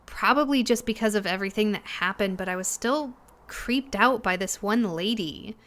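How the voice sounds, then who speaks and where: A woman playing a shaken young cafe worker in an audio drama, talking haltingly and hesitantly, with sighs and pauses. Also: medium pitch, a bit bright, close to an everyday voice. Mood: unsettled, hesitant. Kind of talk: naturalistic audio drama dialogue.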